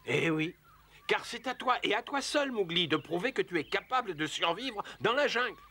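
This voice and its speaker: High-voiced, fussy cartoon panther. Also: male voice, expressive, normal speed, pushed and bright. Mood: earnest. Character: wise, fussy male panther mentor. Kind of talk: animated cartoon dubbing. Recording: faint background noise.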